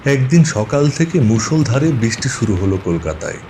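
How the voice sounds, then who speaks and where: A man's voice: medium pitch, sing-song, normal speed, background noise. A man narrating a scripted ghost story for a cartoon as its storyteller.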